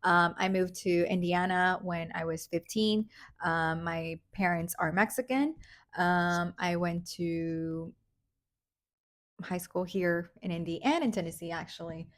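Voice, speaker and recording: A woman's voice: lowish voice, unhurried. A woman in her twenties from the United States, co-hosting a casual podcast chat with hesitant, um-filled talk. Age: 20s. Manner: hesitant, many ums, playful. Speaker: podcast co-host.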